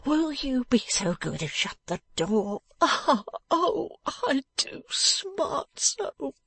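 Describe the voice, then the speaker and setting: A woman voicing a cowardly, self-pitying young man in pain, with wailing, groaning, drawn-out complaints for an audiobook of a Victorian novel. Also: fairly high pitch, sing-song, slightly rough, no room sound, voice pushed up, heavily acted. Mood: pleading, suffering.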